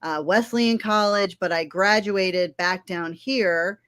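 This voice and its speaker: A woman in her fifties from Florida, a legal aid attorney, answering interview questions in a friendly, measured way with frequent ums. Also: mid-range voice, a bit bright, clean tone. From Florida, United States. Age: 50s.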